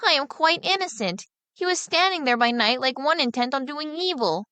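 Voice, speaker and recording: Naive farm boy, voiced by a woman reading, earnest and higher than her own voice. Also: animated, moderate pace, bright, forceful tone, no echo. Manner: earnest, guileless. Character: naive fearless young male peasant boy.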